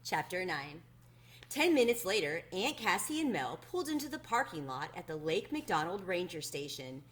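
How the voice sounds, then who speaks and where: A woman reading a children's story aloud as a host, in an expressive, plainly addressed storytelling manner. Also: on the low side, moderate pace.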